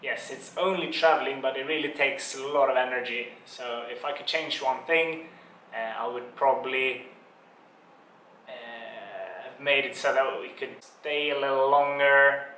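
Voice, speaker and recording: Relaxed Swedish man in his twenties. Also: medium pitch, echoey room, a little hiss or hum, poor audio. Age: 20s. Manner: relaxed, dry teasing humor, hesitant fillers. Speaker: travel vlogger. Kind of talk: casual travel vlog chat. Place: holiday apartment in Bali.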